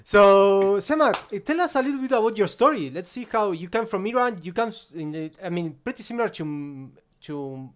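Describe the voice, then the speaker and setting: A man hosting a casual livestream interview, upbeat and chatty with quick agreeing interjections. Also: high voice, animated. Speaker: host.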